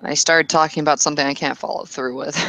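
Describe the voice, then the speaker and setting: A woman's voice: sits low, steady tone, fairly quick, bright, forceful tone, dry recording. A woman playing a tabletop roleplaying game, speaking in character, earnestly and with some hesitant restarts.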